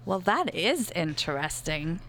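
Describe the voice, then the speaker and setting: A woman playing a calm female sex therapist who speaks in a measured, reassuring way with hesitant fillers. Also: on the low side, a little hiss or hum, heavily acted. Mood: patient. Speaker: advice-show therapist. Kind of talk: improvised comedy sketch.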